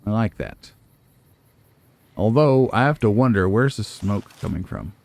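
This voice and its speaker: A man hosting a let's play with casual, musing commentary, as if thinking out loud to himself. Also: on the low side, expressive, measured pace, creaky. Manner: musing, nitpicking, talks to himself.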